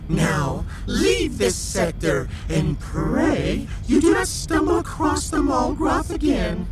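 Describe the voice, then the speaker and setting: Super high slow haughty giant caterpillar alien lady, menacing, sci-fi audio drama, loud music behind. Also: exaggerated voice. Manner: menacing, pompous proclamations.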